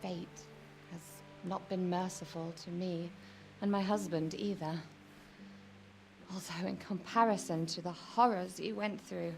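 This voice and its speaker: An actress playing a young, pious noblewoman in a medieval video game, speaking with measured sympathy. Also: lowish voice, steady tone, gravelly, soft background music. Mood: wistful. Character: gentle, pious medieval noblewoman married to an older lord. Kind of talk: dramatic game cutscene acting.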